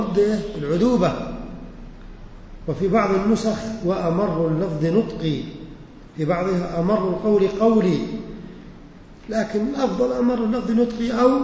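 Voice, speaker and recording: A man teaching a religious lesson who recites verses slowly, repeats them and explains their words with questions to his listeners. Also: high voice, darkish tone, a little hiss or hum. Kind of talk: lesson explaining poetry and sayings. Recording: echoey hall, muffled lo-fi recording.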